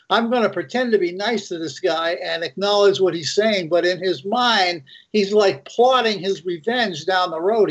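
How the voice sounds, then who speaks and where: A man's voice: sits high, highs cut off. A man hosting a casual panel discussion, speaking in a mocking, insistent way. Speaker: show host.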